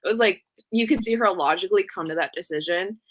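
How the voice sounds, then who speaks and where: A woman co-hosting a casual book discussion. She talks in relaxed slang and voices imagined lines from characters to make her points. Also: medium pitch, fast, rough recording. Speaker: co-host of a book readalong discussion.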